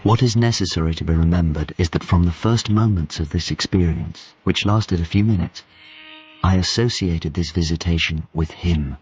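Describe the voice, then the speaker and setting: Very deep, solemn male narrator. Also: darkish tone. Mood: solemn, awed. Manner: deliberate, formal, solemn. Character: grave man recounting a mysterious night visitation. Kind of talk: first-person radio drama narration. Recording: dull lo-fi audio with clear noise.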